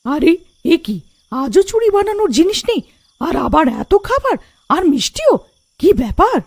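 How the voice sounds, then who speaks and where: Woman voicing a gleeful, high-pitched cartoon witch. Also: big pitch swings, normal speed, darkish tone, full character voice. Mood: delighted surprise. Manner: gleeful, exclaiming. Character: cheerful female witch delighting in bangles and sweets. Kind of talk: cartoon story character voice.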